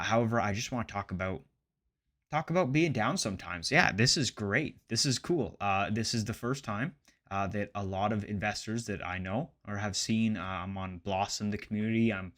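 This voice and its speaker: A man in his late twenties with a fairly low voice chats casually to his viewers as a finance vlogger. His delivery is loose and rambling, and he often stops to rephrase himself. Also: a bit bright. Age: late 20s. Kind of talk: casual chat to camera about investing.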